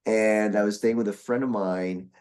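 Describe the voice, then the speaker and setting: A man's voice: on the low side, fairly even intonation, moderate pace, a little mellow, clear, resonant tone. A young man from Brazil, chatting as a guest voice actor in a casual interview, often restarting his sentences. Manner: rambling, with many restarts and fillers.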